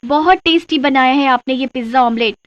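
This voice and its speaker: A woman's voice: high-pitched, a little fast, no room sound, lo-fi sound, close to an everyday voice. A woman voicing a sweet, encouraging child in a cartoon story. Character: sweet, sensible child praising mother's cooking. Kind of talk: Hindi moral-story cartoon voice acting.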